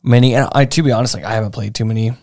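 A man's voice: fairly low pitch, steady tone, very fast, darkish tone, no room sound, clean studio sound. A man co-hosting a podcast in casual chat, slangy and hesitant, often breaking off his own sentences. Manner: slangy, hesitant, self-interrupting. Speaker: podcast co-host.

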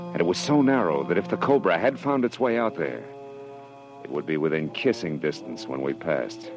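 A hardboiled detective from classic radio drama, wisecracking and cool under danger. His voice is low, his delivery slangy and commanding, and music plays in the old recording. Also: muffled recording. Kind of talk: classic radio drama acting, hardboiled first-person narration.

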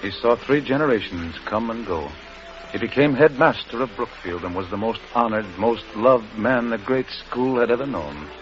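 An actor playing the solemn narrator of an old-time radio drama, reading scripted storytelling lines. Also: male voice, mid-range voice, expressive, normal speed, natural voice. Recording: dull old radio recording, music behind.

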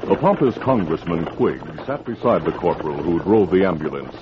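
Dramatic old time radio narrator guy, lively delivery, muffled and noisy. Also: sits low, brisk.

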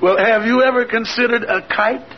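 A sceptical waiter in a 1940s radio comedy, dropping dry asides. His voice is strikingly high for a man, under heavy noise on a dull old recording. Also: fairly even intonation, moderate pace. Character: dry, sceptical waiter, puncturing the boss's schemes.

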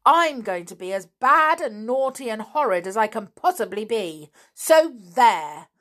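A woman reading aloud and voicing a defiant, rude little schoolgirl who answers sulkily and shouts. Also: mid-range voice, big pitch swings, unhurried, pitched above the performer's own voice. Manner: sulky and shouting.

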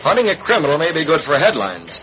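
An actor playing a brisk, sardonic newspaper publisher in a 1940s radio drama, clipped and authoritative. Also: male voice, fairly high pitch, huge pitch swings, fairly bright tone, poor audio. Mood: firm, commanding. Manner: clipped, authoritative, dry sarcasm. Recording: old radio recording, faint noise.

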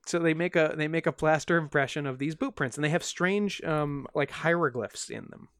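A man's voice: fairly high pitch, normal speed, a bit bright, clear, resonant tone, no echo, studio quality. A man co-hosting a podcast, recounting an odd story in a wry, joking way with plenty of fillers. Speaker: podcast co-host. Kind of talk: casual storytelling of a strange tale.

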